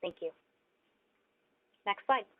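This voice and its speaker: Woman presenter on a tinny line. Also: slow. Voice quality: hesitant, many ums and restarts. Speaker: webinar presenter. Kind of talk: scripted-ish webinar talk with frequent fillers.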